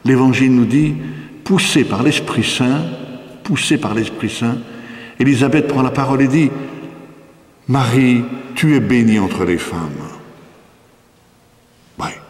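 A man's voice: mid-range voice, a little slow, pauses often. An older man with an accent from France, a priest giving a didactic, emphatic sermon into a stand microphone in a church. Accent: French from France. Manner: didactic, rhetorical questions, emphatic repetition. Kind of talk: spoken sermon, teaching a congregation. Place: inside a church, before an altar. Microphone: microphone on a stand, close to the mouth. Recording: echoey church with long reverb.

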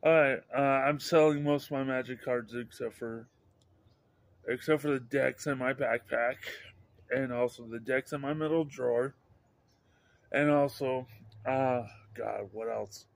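Middle-aged male vlogger, slow and rambling, many restarts, phone at arm's length outside. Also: mid-range voice, fairly even intonation, creaky. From Idaho, USA. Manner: halting, repeats and restarts words, upbeat. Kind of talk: rambling casual vlog. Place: outdoors by a house under overcast sky. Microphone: handheld phone camera at arm's length.

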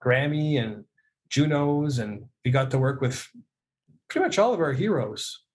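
A man's voice: medium pitch, a little slow. A middle-aged man from Canada telling casual stories on a video call, acting out bits of dialogue as he goes. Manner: animated storyteller who acts out dialogue. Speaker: guest, music producer and audio mixer. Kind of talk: casual anecdotal storytelling. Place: indoor room on a video call.

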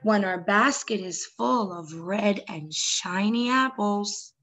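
A woman's voice: mid-range voice, takes it slowly. Young woman reading aloud to small children. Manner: expressive, addressing small children directly. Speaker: children's story time host. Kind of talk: picture-book read-aloud for young children.

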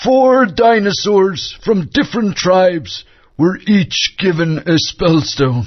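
An old wise dinosaur guardian. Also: male voice, high-pitched, takes it slowly. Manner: grand, storytelling, scolding. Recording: dull lo-fi game audio.

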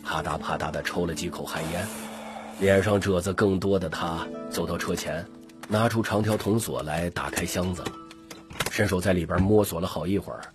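A man with a gravelly, husky voice narrating an audiobook story expressively. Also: low voice, moderate pace, over music.

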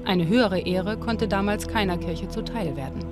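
A woman giving calm, measured scripted narration for a documentary. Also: mid-range voice. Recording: studio voice-over with clear music underneath.

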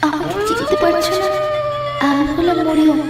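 A woman voicing the bitter, accusing ghost of a murdered young wife in a cartoon, in a hall echo from far off over loud music. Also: very high voice, big pitch swings, moderate pace, heavily acted. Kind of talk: Bengali cartoon dubbing.

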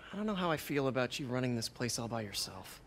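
A man's voice: mid-range voice, very animated, fast, slight background noise. An actor playing an earnest, caring young man in a video game, with a hesitant, concerned manner. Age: young adult. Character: earnest, anxious young man, caring scientific assistant and hero. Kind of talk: naturalistic video game acting. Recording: music behind voice.

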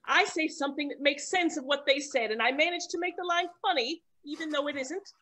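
A woman's voice: high voice, fairly quick, bright, forceful tone. A woman playing a vain, self-aware actress who narrates her own scene in an arch, wry, theatrical parody style. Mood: arch, dismissive. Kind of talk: parody stage acting.

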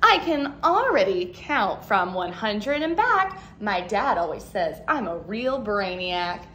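A woman in her thirties, a teacher, reading a picture book aloud to young children in an expressive, animated way. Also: medium pitch, takes it slowly, slight background noise. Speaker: second grade teacher. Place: living room at home, indoors.

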